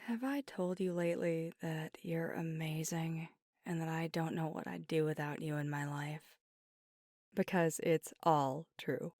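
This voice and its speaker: Low, close-up, earnest werewolf girlfriend, acted by a woman. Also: fairly even intonation, measured pace, a little mellow, dry recording. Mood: affectionate, teasing. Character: devoted, earnest young werewolf girlfriend weighing big news. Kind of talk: intimate ASMR roleplay, audio drama.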